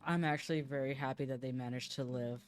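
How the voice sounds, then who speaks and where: A woman's voice: rumbling low, quick pace, soft background music. A woman streamer giving casual, sweary reaction commentary and reading game text aloud, talking to the characters. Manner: expressive, sweary, talks to the characters. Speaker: gaming streamer.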